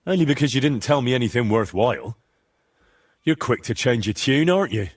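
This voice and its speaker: A man playing a blunt, earnest young adventurer in a medieval video game, husky-voiced and direct in his questioning. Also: mid-range voice, animated, fairly quick, close to an everyday voice. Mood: insistent, skeptical.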